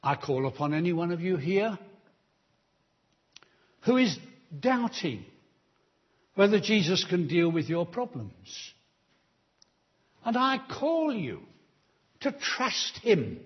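A man preaching a sermon in a church, delivering slow, emphatic appeals to the congregation. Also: high voice, sing-song, breathy edge, highs cut off.

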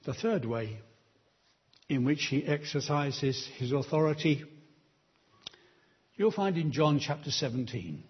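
A man preaching a sermon in a church, delivering slow, emphatic appeals to the congregation. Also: on the high side, animated, slightly rough, dull audio.

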